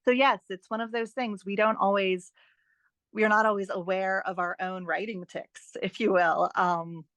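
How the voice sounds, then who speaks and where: A woman's voice: medium pitch, steady tone, normal speed, fairly bright tone, no room sound. Emphatic woman explaining, very dry sound. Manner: emphatic, encouraging, with rhetorical questions. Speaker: guest author and editor giving advice. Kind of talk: podcast interview, explanatory talk.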